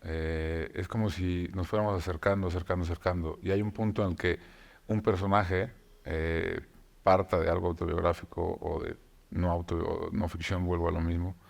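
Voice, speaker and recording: Man, very low voice, thoughtful pauses, unhurried talk show chat. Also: flat delivery, creaky. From Mexico. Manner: reflective, many filler hesitations and restarts. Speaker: novelist guest. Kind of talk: conversational talk show discussion.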